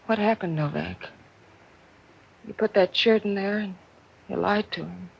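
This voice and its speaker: A woman's voice: unhurried, creaky, husky. An actress playing a frantic, spiteful gangster's woman in an old-time radio crime drama. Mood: bitter. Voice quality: shrill. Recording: dull old radio recording.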